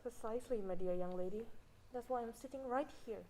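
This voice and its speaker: A young woman acting a formal, shrewd, composed judge with a measured, pompous manner in a student stage play. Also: on the high side, background noise, lightly acted. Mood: composed, knowing. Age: young adult.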